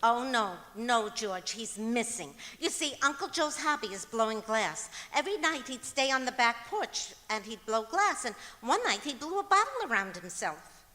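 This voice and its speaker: A woman's voice: a little high, big pitch swings, moderate pace, bright, forceful tone. A woman playing a scatterbrained, guileless wife in an old-time radio comedy performed on stage, earnestly delivering cheerful nonsense. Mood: chirpy, earnest. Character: scatterbrained, cheerfully illogical wife with her own daffy reasoning. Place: performing arts center auditorium, live stage. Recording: echoey auditorium stage hall.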